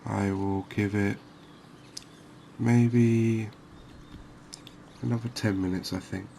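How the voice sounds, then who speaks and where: A soft-spoken man giving unhurried, soothing ASMR commentary over a video game. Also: lowish voice, fairly even intonation, creaky, slight background noise. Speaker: gaming video host.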